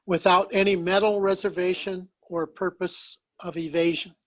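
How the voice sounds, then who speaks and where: A man's voice: high-pitched, flat delivery. A man on a video call, losing his voice, leading a school board oath of office phrase by phrase. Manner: halting, apologetic. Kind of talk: reading an oath aloud. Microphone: home microphone on a video call.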